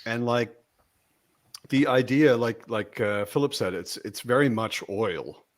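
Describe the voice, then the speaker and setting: A man with a medium-pitched voice talks in a casual book discussion. He explains his ideas step by step in a conversational way, often checking in with "you know.". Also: measured pace.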